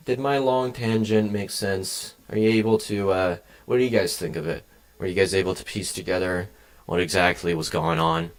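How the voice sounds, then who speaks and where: A man's voice: sits low, steady tone, normal speed. A young man streaming from his home desk, chatting casually with viewers while coding, rambling across tangents and swearing freely. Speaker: game developer streaming.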